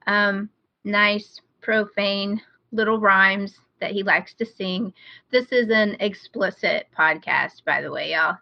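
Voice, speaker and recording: A woman's voice: mid-range voice, dry recording. A woman podcast host chatting casually and giving recommendations on a dull, lo-fi internet call. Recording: dull, lo-fi online call audio.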